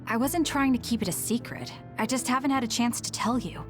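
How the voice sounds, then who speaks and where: An actress voicing a calm, direct, resolute young woman fighter in an anime game dub, reserved and matter-of-fact. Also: on the high side, brisk, over music, lightly acted.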